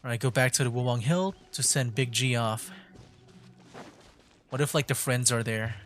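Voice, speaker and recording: A man's voice: medium pitch, steady tone, normal speed, a bit bright, soft background music. A man livestreaming a video game, commenting casually and reading dialogue choices aloud. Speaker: gaming livestreamer.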